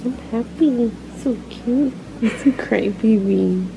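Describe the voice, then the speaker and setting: A woman's voice: on the high side, slow, far from the mic. A woman in her twenties, a new mother, chatting informally with some slang. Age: 20s. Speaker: vlogger's partner and new mother. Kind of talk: casual chat. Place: small hospital room, in bed. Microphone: vlog camera held by someone else. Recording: clearly noisy room ambience.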